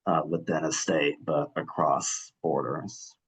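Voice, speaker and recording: A man moderating a webinar, reading audience questions aloud in a plain, even way with small "uh" fillers. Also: extremely low pitch, slow, breathy edge.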